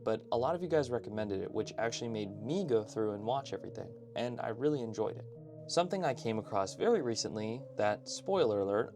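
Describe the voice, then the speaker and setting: A man delivering casual, opinionated video essay commentary as a host, with informal conversational asides. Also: mid-range voice. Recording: background music under voice-over. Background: music.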